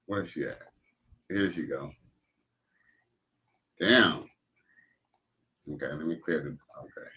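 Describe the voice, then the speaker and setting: A man's voice: a bass voice, takes it slowly, pauses often, bright, forceful tone. A man hosting a live stream, reacting to clips with crude jokes and loose slang. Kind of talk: casual reaction commentary. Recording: dull lo-fi stream audio.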